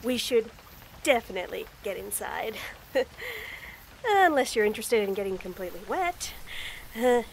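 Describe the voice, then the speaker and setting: A young woman voicing a shy, nervous tomboy friend in a romantic roleplay audio, stammering, giggling and speaking softly. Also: a little high, very animated, normal speed, creaky, long pauses, slight background noise, low-quality recording. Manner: stammering, giggly, soft-spoken. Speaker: voice actor.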